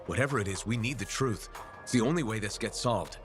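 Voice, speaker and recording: A man's voice: lowish voice, brisk, music in the background, natural voice. A man playing a plain-spoken, wry and empathetic mercenary hero in a video game, talking in a casual, conversational way. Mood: pressing, alarmed. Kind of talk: video game dialogue acting.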